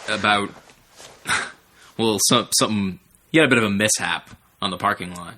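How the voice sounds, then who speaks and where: A man with a somewhat low, husky voice that has a sharp, forceful edge. He co-hosts a casual podcast, steering the chat and dropping in wry, teasing remarks. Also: moderate pace. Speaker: podcast co-host. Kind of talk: casual banter and prompting.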